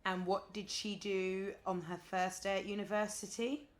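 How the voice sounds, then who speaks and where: A woman's voice: medium pitch, level tone, moderate pace, lightly acted. A woman acting in an audio play as a wry, sociable adult friend who talks in a chatty, sardonic way. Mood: wry exasperation. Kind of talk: audio drama dialogue.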